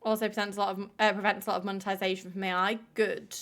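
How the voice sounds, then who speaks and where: A woman's voice: mid-range voice, level tone, rapid-fire, a bit bright. A woman hosting a live stream, chatting casually with viewers, filling her talk with "like" and swearing freely. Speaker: livestream host.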